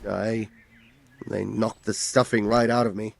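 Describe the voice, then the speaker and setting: An actor playing a put-upon medieval village tanner in a video game, complaining bitterly in colourful terms. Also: male voice, on the low side, level tone, unhurried, creaky. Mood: indignant. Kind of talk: video game dialogue acting.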